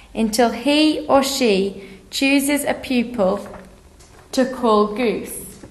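A woman reading the rules of a playground game aloud for a listening lesson, in a roomy recording. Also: a little high. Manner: slow, clear instructional reading. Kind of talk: scripted listening-lesson narration.

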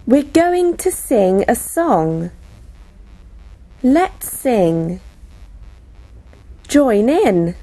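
A woman slowly reading out classroom phrases for teachers, each phrase spoken separately. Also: on the high side, warm tone, low-quality recording. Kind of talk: slow, clearly spoken classroom English read aloud.

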